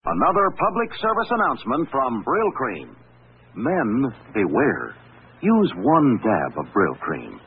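A man's voice: mid-range voice, wildly swooping pitch, slow, narrow, tinny audio, noisy background. A man reading a vintage commercial as a mock-serious warning, on an old broadcast recording. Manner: grave, tongue-in-cheek warning. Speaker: commercial announcer.

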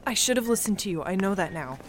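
A woman's voice: mid-range voice, expressive, brisk, close to an everyday voice. A woman playing a contrite, humbled character in an audio drama. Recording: noisy background.

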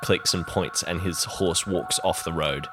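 A man's voice: low voice, fairly even intonation, measured pace. A man playing a tabletop roleplaying game, improvising descriptive narration of his character and the scene, feeling for his words. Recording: loud music under the voice. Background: music.

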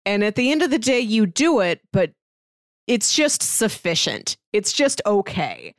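Podcast co-host, female, mid-pitched, dry close sound, eager and chatty. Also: big pitch swings, measured pace, dry recording. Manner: eager, agreeing often, filler "like". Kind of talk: casual TV recap chat.

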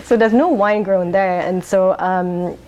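A woman from Singapore, talking through her life in a rambling oral history interview, laughing often and pausing on um's. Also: mid-range voice, fairly even intonation, darkish tone, a little hiss or hum. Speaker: interviewee, wine bar owner.

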